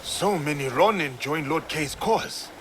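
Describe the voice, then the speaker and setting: A man playing a formal, resolute foreign-born samurai in a video game cutscene, speaking in a measured, grave way. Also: fairly high pitch, expressive, a bit bright, a little hiss or hum. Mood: probing.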